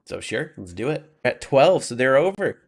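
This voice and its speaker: A man improvising tabletop game narration as game master, informal and halting in delivery. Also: mid-range voice, big pitch swings, breathy edge.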